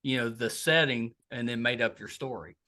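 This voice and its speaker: A man's voice: medium pitch, normal speed, sharp tone. A man hosting a podcast interview, upbeat and agreeable, with many short affirmations. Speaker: podcast host. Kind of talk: casual interview.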